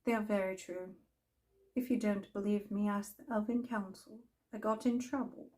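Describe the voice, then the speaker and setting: A woman playing an imperious, mischievous older magical storyteller, telling fantasy tales in a theatrical, haughty way with deliberate pauses. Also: medium pitch, fairly even intonation, darkish tone, natural voice. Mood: indignant, haughty. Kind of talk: in-character fantasy storytelling roleplay.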